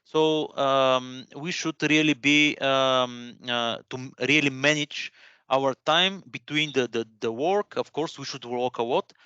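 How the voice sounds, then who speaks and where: A man's voice: mid-range voice, a little slow, sharp tone, smooth, no room sound, highs cut off. A man in his thirties who speaks English as a second language, presenting informally and encouragingly, often searching for words and repeating himself. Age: 30s. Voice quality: non-native English speaker. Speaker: guest presenter at a career webinar.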